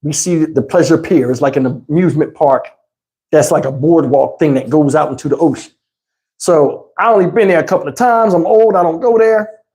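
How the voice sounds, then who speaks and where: Animated man telling a casual story. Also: a little high, normal speed. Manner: slangy, profane, emphatic. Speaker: storytelling YouTube host.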